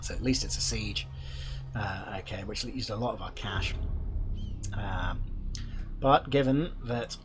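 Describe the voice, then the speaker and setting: Hoarse man musing over a game. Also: on the low side, a little slow, pauses often. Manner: musing aloud, hesitant with many ums. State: hoarse from being unwell. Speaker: gameplay commentator. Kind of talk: casual let's-play commentary. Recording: music playing behind the voice.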